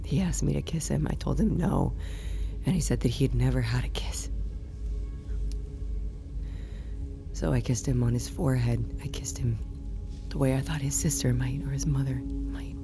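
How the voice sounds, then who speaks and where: An actress playing a guilt-ridden young woman confessing a painful secret in an audio drama, halting and repeating herself, often dropping toward a whisper. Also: very deep voice, creaky. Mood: subdued, haunted. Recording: music under the voice.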